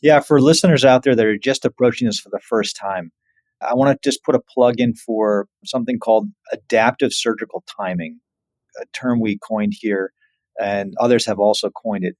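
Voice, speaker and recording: A man's voice: fairly low pitch, moderate pace, a little mellow, no echo. A man, a surgeon, explaining as an interview guest in an emphatic way with examples.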